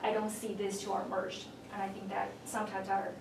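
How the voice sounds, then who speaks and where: Flat-toned woman with a Chinese accent. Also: medium pitch, fairly quick, vocal fry. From China. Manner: earnest, with frequent "um"s. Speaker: panelist. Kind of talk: panel discussion advice. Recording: echoey room from far off, with noise.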